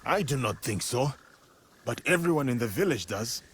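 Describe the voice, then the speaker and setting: An actor playing a stoic, honourable warrior of feudal Japan in a video game, speaking in measured, formal phrases. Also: male voice, medium pitch, expressive, slight background noise, lightly acted. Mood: gentle, calming. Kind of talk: video game cutscene acting.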